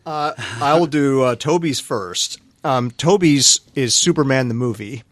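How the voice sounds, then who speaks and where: A man's voice: mid-range voice, a little slow, no room sound. Earnest man on a dry mic. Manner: earnest, hesitant with fillers. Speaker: podcast co-host. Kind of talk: casual chat.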